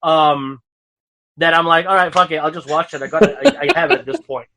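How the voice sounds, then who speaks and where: A man from Europe, speaking English as a second language, chats casually on a podcast. His voice sits a little high, and his stories wander loosely from point to point. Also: sing-song, brisk, vocal fry, a crowd in the background. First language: not English. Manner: rambling storyteller, laughs often. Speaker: podcast co-host. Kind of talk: casual chat.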